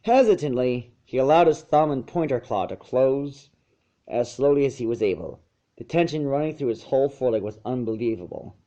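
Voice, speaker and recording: Guy reading a story out loud, normal pitch, trips on words sometimes, slightly muffled mic. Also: expressive, brisk. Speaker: narrator of fan fiction. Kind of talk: storybook narration read aloud.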